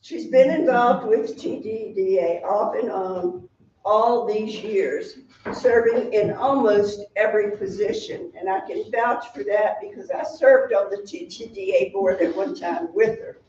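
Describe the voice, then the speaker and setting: A woman presenting an award at a town meeting, reading prepared remarks in a measured, formal way. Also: medium pitch, a little mellow, slight background noise. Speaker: hall of fame president presenting an award. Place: town hall meeting room. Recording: echoey hall, distant, dull sound.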